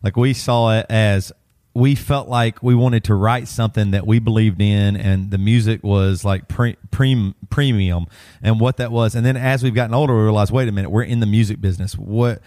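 A man's voice: fairly low pitch, steady tone, moderate pace, darkish tone, dry recording, clean studio sound. A man hosting a casual podcast interview, asking informal questions piled one on another. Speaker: podcast host and band musician.

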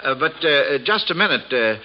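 A man's voice: a little high, very animated, moderate pace. A man hosting a radio quiz show, friendly and chatty, often hesitating with filler sounds. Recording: dull lo-fi vintage radio recording.

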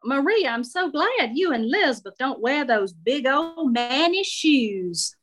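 A woman's voice: sits high, sing-song, takes it slowly, a bit bright, voice pushed up, full character voice. A woman storyteller voicing a chatty, nosy seventy-seven-year-old lady who calls everyone darling. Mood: teasing. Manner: gushing, outspoken, calls everyone darling and honey.

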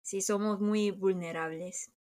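A woman's voice: mid-range voice, fairly even intonation, measured pace, darkish tone. A middle-aged woman from Korea with a Korean accent, co-hosting a chat podcast in a reflective way, often restarting and searching for words. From South Korea. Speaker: podcast co-host. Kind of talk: casual chat.

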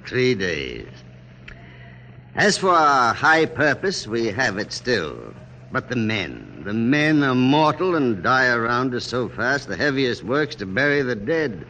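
An actor playing a weary, wry, middle-aged general in a formal, measured classic radio drama style. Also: male voice, medium pitch, very animated, sharp tone, heavily acted. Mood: grave, weary. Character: weary, dignified American general of forty-five, dryly wry. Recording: noisy old radio recording.

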